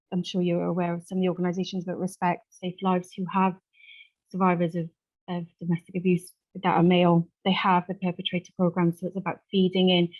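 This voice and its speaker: A woman speaking off the cuff as a support worker in a group discussion, earnest and halting as she pieces her sentences together. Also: medium pitch, narrow, tinny audio. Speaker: support worker, survivor engagement officer. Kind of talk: unscripted contribution to a group discussion.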